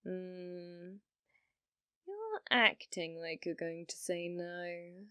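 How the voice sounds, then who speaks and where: A woman's voice: lowish voice, expressive, pushed and bright, clear, resonant tone, no echo, full character voice. A woman playing a flirty, possessive yandere rental date in an ASMR roleplay, teasing and coy, coaxing the listener. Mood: intimate, seductive. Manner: teasing, coy, slow and deliberate, drops to whispers.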